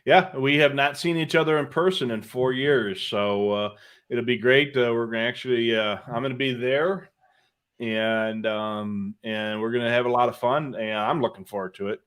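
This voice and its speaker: A man's voice: medium pitch, steady tone, normal speed, fairly bright tone, clear, resonant tone. Man chatting as a hobby show co-host. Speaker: co-host of a live hobby show about police scanners. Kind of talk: casual live-stream chat.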